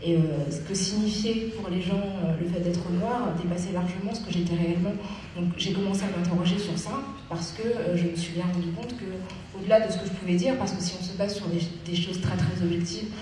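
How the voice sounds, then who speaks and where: A woman's voice: fairly low pitch, warm tone, far from the mic, slight background noise. Parisian woman speaking in an echoey theatre. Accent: Parisian French. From Paris, France. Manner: articulate, measured, with frequent hesitations. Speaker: debate guest, activist. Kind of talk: public debate on stage. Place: theatre stage. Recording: echoey hall, picked up from afar.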